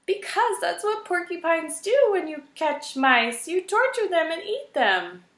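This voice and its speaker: A timid little female mouse from a children's storybook, played by a woman reader, speaking in a high, bright voice. She is earnest and fearful, with a pleading, halting stammer, in a roomy recording. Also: very animated, heavily acted.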